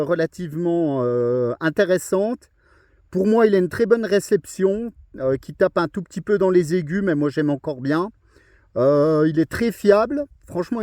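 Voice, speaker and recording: Male radio hobbyist, fairly high mellow voice, casual conversational contact chat, keeps checking the listener follows. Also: no room sound, clean studio sound. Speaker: amateur radio operator.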